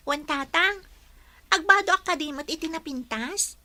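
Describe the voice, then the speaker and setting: A woman with an Ilocano accent playing a pouty, excitable young schoolgirl daughter in a radio comedy drama. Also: very high-pitched, a little slow, no room sound, heavily acted. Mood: delighted.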